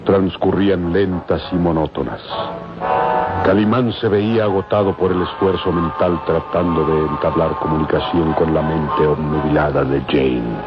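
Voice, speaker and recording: An actor as a solemn storyteller, narrating a radio adventure serial in a grave, measured way with a Mexican accent. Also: male voice, extremely low pitch, fairly even intonation. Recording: muffled old radio recording with music.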